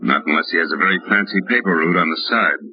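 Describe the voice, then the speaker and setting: An actor playing a tough, wisecracking private detective in a 1940s radio drama, with wry, slangy delivery. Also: male voice, deep voice, fast talker, close to an everyday voice. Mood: skeptical, needling. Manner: wry, slangy, hard-boiled. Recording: muffled old radio recording with music.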